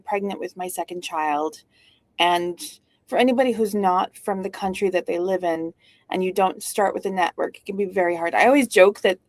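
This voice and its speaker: A middle-aged woman from Canada talks in a loose, witty storytelling way, with lots of "like" and quick asides. Her voice sits at a medium pitch. The sound is dry and close, with little room around it. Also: no echo. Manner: witty, storytelling, uses "like" often. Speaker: guest speaker, founder. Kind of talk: casual conversational interview.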